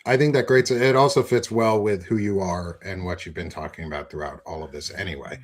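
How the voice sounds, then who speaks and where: Brief: easygoing male podcast host, lower voice, quick to praise and joke. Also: steady tone. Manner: encouraging, joking, laid-back. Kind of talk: casual interview conversation.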